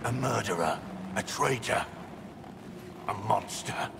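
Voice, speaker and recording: An actor playing a gruff, guilt-ridden soldier in a video game, speaking gravely and deliberately with bitterness. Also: male voice, fairly low pitch, animated, heavily acted. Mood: anguished anger. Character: guilt-ridden gruff soldier, disgraced male impostor warden. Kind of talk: dramatic video game acting. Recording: noisy game capture in reverberant hall.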